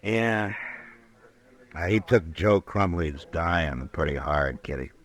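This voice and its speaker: A frontier lawman in a radio western. His voice is very deep, and he talks plainly and calmly in few words, steady and kindly. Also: heavily acted. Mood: easygoing. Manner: laconic, unhurried, plain-spoken. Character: calm, laconic frontier marshal, steady and kindly. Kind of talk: old-time radio western acting.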